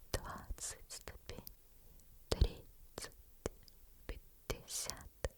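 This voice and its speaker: Woman ASMR storyteller, bright whisper, very slow. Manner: unvoiced whisper, slow and suspenseful. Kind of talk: whispered bedtime story narration.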